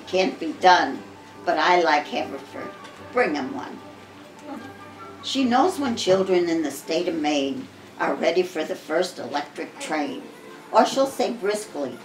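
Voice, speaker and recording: Old american grandma reading a christmas poem, takes her time, lowish voice, echoey room. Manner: measured, expressive storybook reading with occasional stumbles. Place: living room at home. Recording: roomy home recording with music behind.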